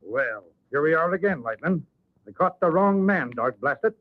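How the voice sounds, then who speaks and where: An actor playing an earnest, businesslike official in an old-time radio western. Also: male voice, on the high side, expressive, moderate pace, phone-quality sound, soft background music. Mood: concerned. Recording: thin old radio recording.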